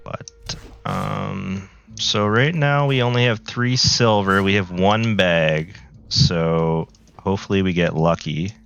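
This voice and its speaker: A man with a low, gravelly voice chats slowly and loosely while he plays, full of filler words. His voice sounds a little worn after being sick. The home recording is somewhat muffled. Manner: relaxed, rambling, many fillers. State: recovering from flu, voice a bit worn. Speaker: gaming video creator. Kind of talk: casual gameplay commentary. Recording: slightly dull, lo-fi audio.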